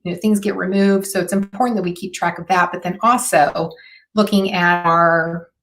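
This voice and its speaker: A woman hosting a live marketing stream, her voice hoarse and raspy, talking in a chatty way with many fillers. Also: on the low side, steady tone, normal speed. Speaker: host.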